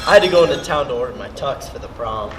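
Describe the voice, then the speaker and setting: A man's voice: mid-range voice, expressive, fast, background noise, natural voice. A young man playing a casual, friendly teenage boy in a school play, recorded on an echoey stage.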